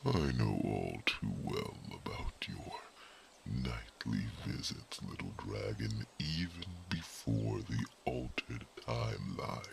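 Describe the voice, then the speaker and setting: A man voicing a wise, devoted male mage who reads minds, speaking in a soft, formal whisper for an ASMR roleplay. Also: a bass voice, animated, slow pace, gravelly, a little hiss or hum, heavily acted. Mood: tender.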